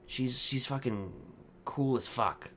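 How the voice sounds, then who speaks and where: A man improvising in-character roleplay chat, speaking haltingly with trailing pauses. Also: mid-range voice, a bit bright, a little hiss or hum. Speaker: streamer playing a roleplay character.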